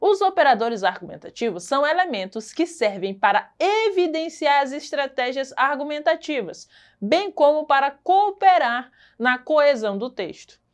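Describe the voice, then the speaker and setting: A woman teacher with a Brazilian Portuguese accent giving a recorded lesson, explaining patiently, repeating key points and checking in with her students. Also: high voice.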